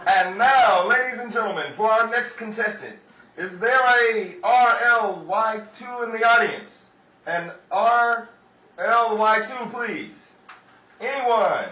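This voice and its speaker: A man's voice: sits high, slow, pushed and bright, exaggerated voice. A man playing an eager, bumbling character in a 1930s radio comedy, with genial patter and some stammering. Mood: showy announcing. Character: eager, bumbling 1930s man, boxing trainer and quiz announcer. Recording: roomy, distant, faint background noise.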